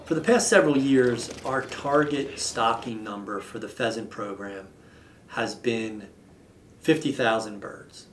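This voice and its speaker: Man, thirties, echoey room, slightly distant mic, mid pitch, formal, measured interview delivery. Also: a little hiss or hum. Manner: measured, formal, with deliberate pauses. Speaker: state wildlife agency official. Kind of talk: explanatory on-camera interview. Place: indoor office with wall map.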